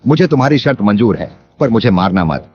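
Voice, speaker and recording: An actor playing a greedy, cowardly landlord villain in an animated moral story, exaggerated and theatrical. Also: male voice, fairly low pitch, fairly quick. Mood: terrified, pleading. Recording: dull lo-fi audio. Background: music.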